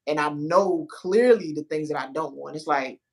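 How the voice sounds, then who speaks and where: A host talks through personal growth in an easy, unhurried way, often circling back to find the words. They act out their inner voice in short, emphatic bursts. The pitch sits in the middle range. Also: vocal fry. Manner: loose, searching speech, acts out inner dialogue. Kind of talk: casual conversation.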